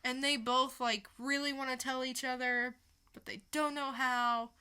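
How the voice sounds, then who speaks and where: A woman's voice: high-pitched, fairly even intonation, measured pace, sharp tone, clean tone. A woman co-hosting a podcast, chatting casually through a comic book review and retelling it with casual swearing. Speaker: podcast co-host.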